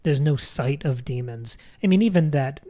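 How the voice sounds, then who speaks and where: A woman's voice: a bass voice, animated, normal speed, dark tone. A woman co-hosting a podcast, talking through film analysis in an articulate, emphatic way with literary phrasing. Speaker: podcast co-host.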